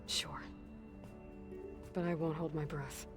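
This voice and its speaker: An actress playing a guarded, determined young warrior heroine in a video game, reserved and matter-of-fact. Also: female voice, deep voice, steady tone, slow. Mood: guarded, brisk. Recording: music under the voice.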